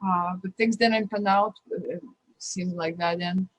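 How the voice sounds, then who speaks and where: A woman from Ukraine with a Ukrainian accent, a guest talking earnestly and warmly about her life, rambling and restarting her sentences. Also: fairly low pitch, fairly even intonation, unhurried, low-quality recording. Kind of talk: casual interview talk, personal advice.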